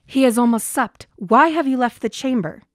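A woman's voice: on the high side, normal speed, no room sound, clean studio sound, natural voice. An actress playing a ruthless, ambitious noblewoman, goading and commanding in Shakespearean verse for a radio drama. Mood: scornful. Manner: scornful, commanding verse delivery. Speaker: actor.

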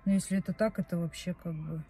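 A woman's voice: fairly low pitch, fairly even intonation, fast talker, warm tone, slightly rough, soft background music. A young woman talking casually to camera from a parked car, rambling and often correcting herself as she goes. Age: young adult. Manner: chatty, rambling, self-correcting. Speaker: vlogger. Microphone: phone camera on dashboard.

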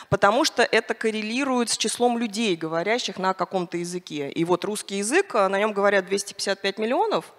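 A middle-aged woman speaking on a conference panel into a handheld mic, hesitant with many fillers and restarts. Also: medium pitch. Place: large conference hall on stage. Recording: reverberant conference hall.

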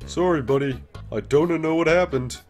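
A man's voice: on the high side, moderate pace, soft background music, close to an everyday voice. A man voicing a laid-back skeleton joker with a hidden menace, talking in a casual, wry drawl in a cartoon comic dub. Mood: easygoing, joking.

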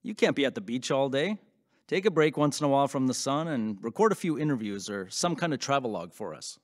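An actor playing an upbeat, boyish radio show host who chats informally and with enthusiasm in an audio drama. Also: male voice, medium pitch, lively intonation, quick pace, close to an everyday voice. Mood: teasing, curious. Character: upbeat male late-night radio host, chatty and boyish.

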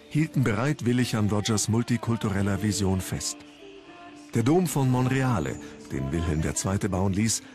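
A man reading scripted documentary narration as the narrator of a history programme. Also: lowish voice.

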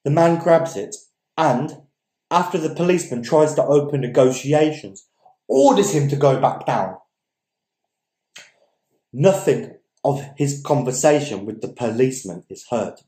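A young man reads a story aloud in a theatrical, emphatic way. His voice is soft-edged and dark in tone, with deliberate pauses. The room around him rings with noticeable echo. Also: medium pitch, expressive. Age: young adult. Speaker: story reader. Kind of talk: dramatic reading of literary fiction. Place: indoors, small domestic dining room. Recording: echoey room.

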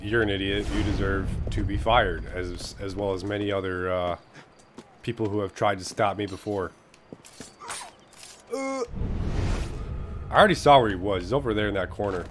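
A man's voice: fairly low pitch, lively intonation, moderate pace, pauses often, a little hiss or hum. Stuffy-nosed young man swearing through gameplay. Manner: profane, rambling, talks to enemies on screen. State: stuffy nose. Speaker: gameplay commentator. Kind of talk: casual let's-play commentary.

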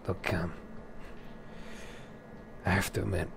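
A man improvising an in-character roleplay over a video game, muttering in a hesitant, halting way. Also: deep voice, little pitch movement, gravelly, background noise. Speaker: let's play roleplayer. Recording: loud game music behind. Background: game music.

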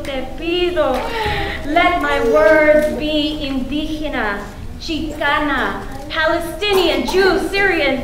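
A middle-aged woman recites a poem like a prayer, slowly and in a high voice. Her delivery is expressive and playfully solemn. The hall echoes around her. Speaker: poet reading at a tribute event. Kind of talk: dramatic poetry reading styled as a prayer. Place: stage in a meeting hall with seated audience. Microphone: stand microphone. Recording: echoey hall with some background noise.

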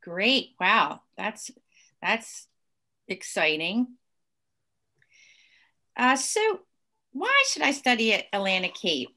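A woman's voice: mid-range voice, very animated, takes it slowly, long pauses, bright, forceful tone. A woman moderating an online presentation, upbeat and enthusiastic as she poses questions to a panel. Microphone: video call.

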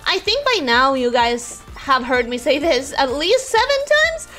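Girl streamer, native spanish speaker, super high swoopy voice, chatting casually while gaming. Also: unhurried, faint music behind. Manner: animated, expressive, exclaiming. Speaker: game streamer. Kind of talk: casual livestream chat over gameplay.

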